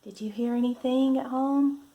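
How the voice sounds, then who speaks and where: A woman reading a picture book aloud to young children, with deliberate, gently instructive delivery and guided breathing prompts. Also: high-pitched, fairly even intonation. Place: at home.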